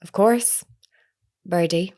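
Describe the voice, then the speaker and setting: An actress playing an earnest, persistent woman reporter in an audio drama, formal, measured and insistent in a naturalistic style. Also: fairly low pitch, warm tone. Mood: polite, businesslike.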